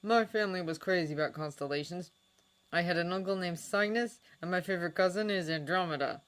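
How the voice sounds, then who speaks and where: Woman doing sardonic wizard guy, growly and forceful, storybook reading. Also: on the low side, brisk, smooth, lightly acted. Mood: wry. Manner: growling, grumbling, loud. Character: grumpy sardonic grown man, wizard.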